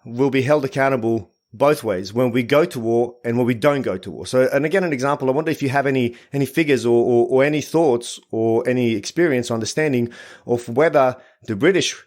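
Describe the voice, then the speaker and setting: A man from Bosnia hosting a podcast interview, asking long questions in a hesitant, halting way. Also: mid-range voice, a little mellow. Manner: hesitant, halting, many fillers and restarts. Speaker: podcast host.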